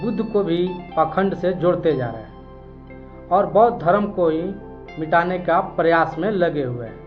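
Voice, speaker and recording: A man's voice: sits high, highs cut off. A man delivering explanatory news commentary, arguing a case with rhetorical questions.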